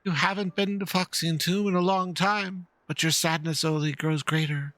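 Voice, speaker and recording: A man voicing a gentle, sorrowful ghostly fox woman who speaks slowly and tenderly in a game dialogue reading. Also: on the high side, steady tone, no room sound, voice pushed up, close to an everyday voice.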